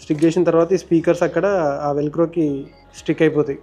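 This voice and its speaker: A man with a Telangana Telugu accent hosts a casual how-to vlog at a very rapid clip. His voice is fairly high and on the mellow side. He explains each step conversationally, with music behind him. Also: level tone. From India. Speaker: motovlogger and host. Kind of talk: casual hands-on tutorial vlog.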